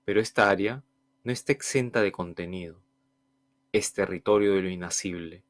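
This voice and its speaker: A man with a lowish voice reads a reflective artist's statement. He is measured and deliberate. The sound is close and dry. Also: dry recording. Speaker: artist reading his statement. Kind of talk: scripted reflective narration.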